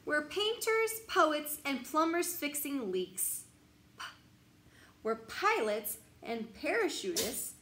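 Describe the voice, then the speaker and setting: A young woman reading a children's bedtime picture book aloud in an expressive, sing-song, teacherly way, emphasizing key words. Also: high voice, slow. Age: young adult. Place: small glassed-in sunroom. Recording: roomy echo, light background noise.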